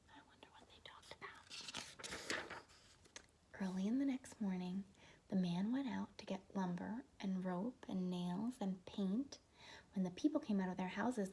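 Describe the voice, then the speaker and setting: A woman's voice: mid-range voice, mellow, a little hiss or hum. A woman reading a children's picture book aloud as a teacher, expressively, with asides to young listeners.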